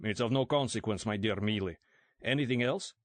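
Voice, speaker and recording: A man's voice: on the low side, fairly quick, no room sound, natural voice. A man voicing an amiable but patronizing male Soviet general in a storybook reading.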